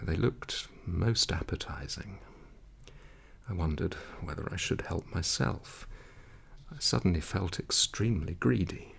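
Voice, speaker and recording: A man reading a literary story aloud in a measured, dramatic way, with a gravelly, husky voice. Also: low-pitched, a little hiss or hum. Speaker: audiobook reader.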